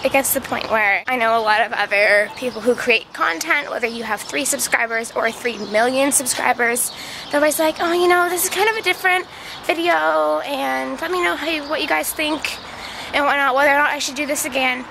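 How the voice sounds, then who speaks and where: A woman's voice: sits high, brisk, creaky. A woman with a congested, stuffy voice from a cold, chatting casually and animatedly into a handheld camera as she walks along city streets. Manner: chatty, animated storytelling with acted-out quotes. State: has a cold. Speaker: video creator. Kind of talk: casual rambling walk-and-talk vlog. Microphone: handheld camera held close while walking. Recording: outdoor street noise with passing traffic.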